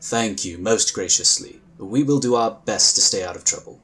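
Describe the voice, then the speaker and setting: A man playing a calm, diplomatic male inquisitor who talks in a measured, courteous way. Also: lowish voice, natural voice. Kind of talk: fan audio drama acting.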